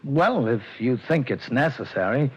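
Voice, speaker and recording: Brief: husky, stammering, nervous businessman, lively pitch, vintage radio. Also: normal speed. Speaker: narrator and lead. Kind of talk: 1940s radio drama acting. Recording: dull lo-fi old radio broadcast.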